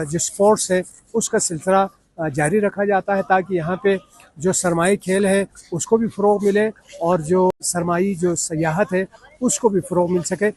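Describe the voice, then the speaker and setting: A male news reporter speaks in a somewhat high-pitched voice. He delivers his report in the manner of a field correspondent narrating a local story. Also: darkish tone. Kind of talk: scripted news report narration with on-location sign-off.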